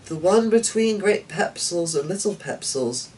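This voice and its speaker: A man's voice: sits high, lively intonation, a little slow, a little mellow, pitched above the performer's own voice. A man voicing a dutiful, earnest daughter of an old knight in a story reading. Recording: distant mic with noticeable background noise.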